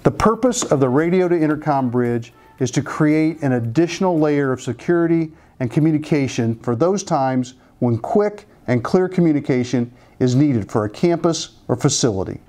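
An American man in his fifties giving a scripted product presentation as a company spokesperson, in a measured, businesslike manner. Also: fairly high pitch, expressive, darkish tone. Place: office conference room, indoors. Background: background music.

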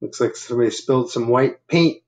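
A man in his fifties reading a picture book aloud to children, adding chatty asides and gentle questions. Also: mid-range voice, a little fast, slight background noise. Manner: asking the listeners gentle questions. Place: living room at home. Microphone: laptop webcam at arm's length.

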